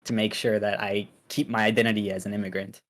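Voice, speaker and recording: A man's voice: lowish voice, level tone, fast talker, fairly bright tone. Laid-back young man from Pakistan. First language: Urdu. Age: young adult. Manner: laid-back, loose, filler-heavy with many likes and ums. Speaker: guest streamer. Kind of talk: casual podcast interview.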